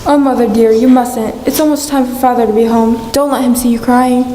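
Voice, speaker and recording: A woman playing a gentle, caring young daughter in a live radio play, soothing and earnest. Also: on the high side, fairly even intonation, brisk, natural voice. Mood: gentle concern. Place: school theatre stage before a live audience. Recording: echoey hall, faint background noise.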